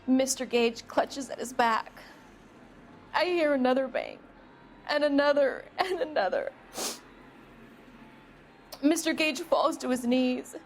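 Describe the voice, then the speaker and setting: High, nervous young woman witness. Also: slight background noise. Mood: tearful. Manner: hesitant, halting, plaintive. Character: young shop-working woman, nervous, evasive murder witness. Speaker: witness being questioned by police. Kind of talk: video game dialogue acting.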